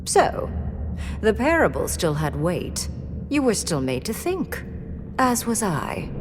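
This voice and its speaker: A woman playing a coldly pragmatic, curious archmage in a video game, speaking in a measured, aloof manner. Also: mid-range voice, huge pitch swings, background noise. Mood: aloof, didactic.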